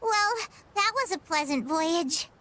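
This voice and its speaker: A woman's voice: very high voice, animated, slow, sharp tone, a little hiss or hum, heavily acted. A woman voicing a plucky, carefree young girl treasure hunter in a video game dub. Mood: carefree, breezy.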